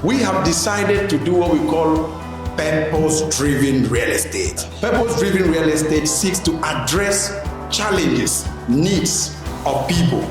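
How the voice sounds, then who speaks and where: A man's voice: a little high, lively intonation, unhurried. Ghanaian man, promo speech, heavy echo, music bed. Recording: very echoey room with music.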